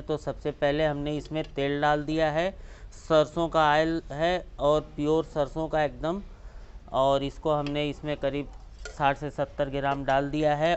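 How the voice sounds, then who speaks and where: A man's voice: on the high side, dull audio, slight background noise. A man hosting a cooking channel, walking through each step of a recipe outdoors beside a clay stove. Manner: explains each step, points things out.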